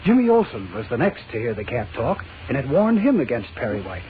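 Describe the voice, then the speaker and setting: A man's voice: medium pitch, huge pitch swings, a little fast, a little hiss or hum. Suspenseful male narrator, tinny old radio. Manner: suspenseful, portentous. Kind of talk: dramatic scripted narration, 1940s radio.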